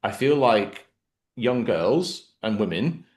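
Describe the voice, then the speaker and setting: A man with a Mancunian accent hosting a relaxed podcast interview, explaining terms for the listeners. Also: fairly low pitch, takes it slowly. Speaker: podcast host. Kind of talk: casual interview.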